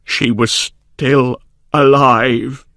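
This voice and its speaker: A man's voice: mid-range voice, fairly even intonation, very slow, a bit bright, no room sound, clean studio sound, pitched above the performer's own voice. A man voicing a terrified male servant, shaken and urgent, in a gothic story reading. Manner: shaking, urgent, deferential.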